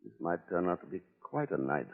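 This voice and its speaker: A man's voice: low-pitched, huge pitch swings, brisk, gravelly, full character voice. A man playing a cool, watchful investigator in a vintage radio drama, speaking with dry, suave understatement. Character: cool, wry, watchful male investigator hero. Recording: muffled, band-limited old radio recording.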